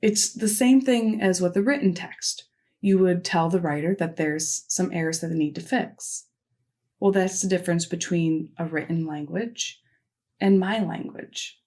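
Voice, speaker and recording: A woman voicing a signed conference keynote into spoken English, delivering it in short, measured phrases with pauses. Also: on the low side, warm tone. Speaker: sign language interpreter voicing a keynote. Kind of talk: simultaneous interpretation of a conference talk.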